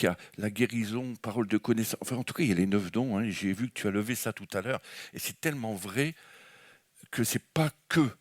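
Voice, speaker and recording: A man with a French accent gives a spontaneous charismatic sermon, enthusiastic and meandering, often restarting his sentences. His voice sits somewhat low. The sound is close and dry, with little room. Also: dry recording. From France. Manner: enthusiastic, rambling, with frequent restarts and fillers. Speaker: guest preacher. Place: church.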